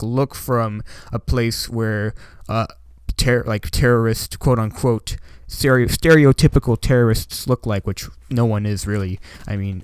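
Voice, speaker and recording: A man's voice: on the low side, smooth, dry recording. A young man from Washington State, the channel host, telling a story in a casual, rambling way with lots of "like" and "you know". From Washington State, United States.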